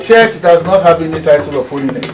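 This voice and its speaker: A man's voice: a little high, animated, quick pace. A man with a Ghanaian accent giving a spiritual teaching, emphatic and repetitive, driving each point home by restating it. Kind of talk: preaching lecture.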